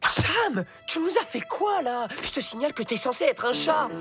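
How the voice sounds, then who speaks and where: A woman's voice: sits high, very animated, moderate pace. A woman voicing an anxious, bossy male cartoon fox in a French accent, insistent and dramatic. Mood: scolding. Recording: loud cartoon music behind.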